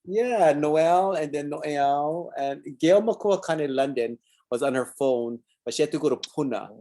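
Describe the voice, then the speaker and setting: A man's voice: on the high side, unhurried, warm tone. A man with a Hawaiian Pidgin accent hosting a talk show, chatting loosely and reading viewer comments aloud with Hawaiian words mixed in. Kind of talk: casual live-stream sign-off chat.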